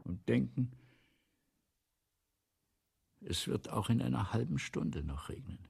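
A grandiose bossy playwright in a radio play, super husky n whispery, swings all over, gravelly edge. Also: male voice, medium pitch, lively intonation, normal speed, a little mellow, heavily acted. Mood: probing, insistent. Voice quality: husky, breathy, lapsing into whispers. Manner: theatrical, pompous, insistent. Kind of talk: 1970s German radio play acting.

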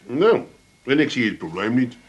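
An actor playing a laconic, philosophizing husband, phlegmatic and dry, in a comic Dutch radio play. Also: male voice, mid-range voice, very animated, normal speed, slightly rough, lo-fi sound. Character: laconic, philosophizing husband buried in his newspaper.

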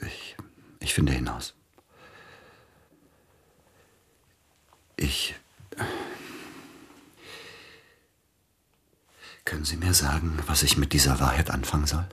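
An actor playing a grieving, lovesick pianist in a German radio play, halting and brooding. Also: male voice, very deep voice, fairly even intonation, fairly bright tone, slightly rough, exaggerated voice. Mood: shaken. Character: grieving celebrated pianist, man, wounded and lovesick.

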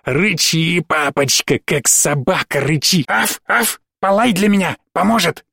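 A man voicing a cruel, mocking possessed little girl in an audiobook, with a shrill, drawn-out taunting voice. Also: gravelly, pushed and bright, slightly rough, no room sound, clean studio sound, full character voice. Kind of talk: audiobook reading.

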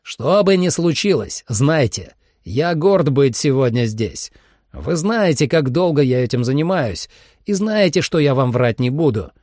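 Audiobook role: veteran squad commander, done by a male narrator, deliberate emphatic oratory, rough-edged, animated voice. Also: medium pitch, no room sound, studio quality, pitched above the performer's own voice. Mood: solemn pride. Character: veteran male monster hunter commander, solemn, stirring leader.

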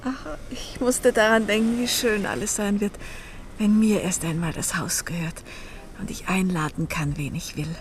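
A woman's voice: mid-range voice, fairly even intonation, moderate pace, a little hiss or hum, lightly acted. An actress playing an anxious, downtrodden middle-aged woman in a German radio play, speaking in a hesitant, earnest, pleading way. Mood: wistful. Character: anxious, downtrodden forty-year-old female companion.

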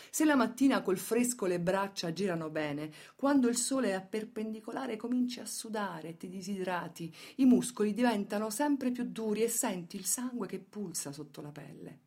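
A woman delivering dramatic scripted documentary narration for radio theatre, measured and emphatic, setting phrases apart with pauses. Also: mid-range voice, lively intonation.

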